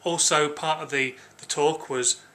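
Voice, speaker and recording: A man with an English accent and a cold-hoarsened voice, chatting informally and a little hesitantly to camera as a vlogger. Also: fairly high pitch, steady tone, a little slow, pushed and bright. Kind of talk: casual vlog talk to camera.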